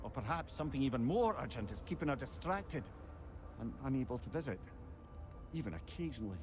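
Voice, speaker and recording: Man acting a lively Scottish old sage. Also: medium pitch, over music, natural voice. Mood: thoughtful, wry. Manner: erudite, wry, measured explaining. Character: wise, chatty old male sage and storyteller. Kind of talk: video game acting.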